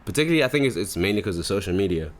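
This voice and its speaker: Deep-voiced young man. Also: very animated, rapid-fire. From Zimbabwe. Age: 20s. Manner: rambling, slangy. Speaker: podcast host. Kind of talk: casual chat.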